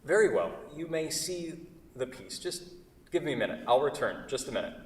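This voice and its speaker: A man acting a flustered, awkward seller in a staged play reading, halting and stammering through his sentences. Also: mid-range voice, very animated, natural voice. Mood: guarded. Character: flustered, awkward man selling a beloved table. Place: theatre stage. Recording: echoey theatre hall, distant mic.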